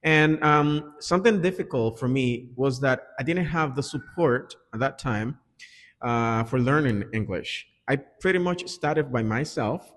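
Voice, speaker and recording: A man's voice: medium pitch, measured pace, fairly bright tone. A man with a Dominican Spanish accent, a native Spanish speaker, giving earnest conversational interview answers as an English teacher. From Dominican Republic. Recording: echoey reverberant room.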